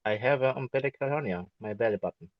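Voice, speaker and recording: A man's voice: medium pitch, quick pace. A man from Greece chats as a guest, wry and matter-of-fact. His voice sits mid-range, heard on a dull, lo-fi connection with no room echo at all. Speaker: podcast guest. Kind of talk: casual live chat. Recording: dull dry lo-fi sound.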